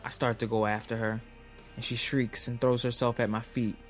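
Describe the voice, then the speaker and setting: A man's voice: mid-range voice, level tone, moderate pace, faint music behind, lightly acted. An actor playing a hot-tempered young man in an audio drama, with casual, slangy American speech, in dialogue and first-person narration. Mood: tense narration.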